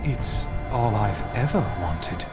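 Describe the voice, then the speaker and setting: Sly trickster god for a game: wry, smug, highly expressive, over a musical score. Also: male voice, slow pace, music in the background. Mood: wounded pride. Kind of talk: video game cutscene acting.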